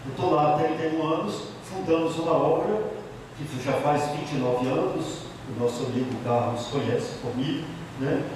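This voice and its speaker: A middle-aged man with a Bahian accent speaks as a priest, recounting his life with emphasis and frequent repetition. His voice sits somewhat high. It comes from a distance in a room with long, roomy echo. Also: moderate pace, background noise. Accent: Bahian Portuguese. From Bahia, Brazil. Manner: emphatic, repeats key phrases, frequent tag questions. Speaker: priest giving a talk. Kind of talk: storytelling talk about his life. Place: office meeting room, indoors. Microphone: handheld mic. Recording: echoey room from a far camera.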